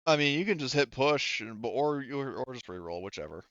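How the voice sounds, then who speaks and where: A man casually running a tabletop roleplaying game as game master, halting and thinking aloud as he goes. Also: medium pitch, animated, highs cut off, background noise.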